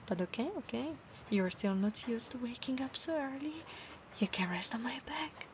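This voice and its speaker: A woman with an Italian accent voicing an affectionate, possessive centaur knight in a soft ASMR roleplay, often slipping into breathy whispers. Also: a little high, lively intonation, normal speed, a little hiss or hum, close to an everyday voice. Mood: fond, eager. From Italy.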